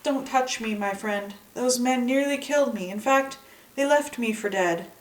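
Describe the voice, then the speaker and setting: A woman's voice: a little high, unhurried, pitched above the performer's own voice, natural voice. A woman voicing a sly male trickster dog in a children's folktale, with theatrical cries of alarm and feigned innocence. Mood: feigned suffering. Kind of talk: children's folktale read-aloud. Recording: echoey room, distant microphone.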